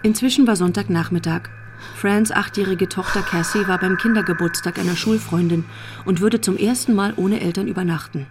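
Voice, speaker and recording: A woman's voice: medium pitch, fairly even intonation, a little fast, slight background noise, close to an everyday voice. A woman narrating a crime radio drama as a composed storyteller.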